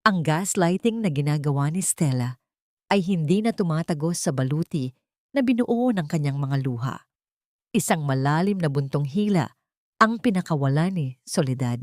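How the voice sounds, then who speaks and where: A woman reading a scripted dramatic story in a solemn, deliberate, emotive way. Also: low voice, expressive, a little mellow, dry recording, studio quality.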